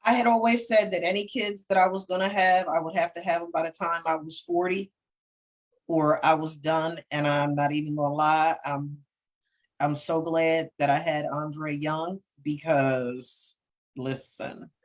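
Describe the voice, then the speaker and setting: A middle-aged woman co-hosting a casual conversation show. Her voice sits on the lower side for a woman. She banters and teases freely, often laughing as she talks. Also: unhurried. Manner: laughs often, teasing and expressive. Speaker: co-host, counseling coach. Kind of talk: casual podcast chat.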